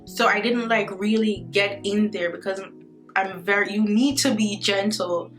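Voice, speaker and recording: A young Jamaican woman in her twenties talks straight to her viewers in a chatty, upbeat vlog voice. Her pitch sits mid-range, and a faint music bed plays under her. She is recorded at home on the camera's own mic. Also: unhurried, fairly bright tone. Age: 20s. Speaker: beauty vlogger. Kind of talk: casual skincare vlog. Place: indoor room at home, not a bathroom.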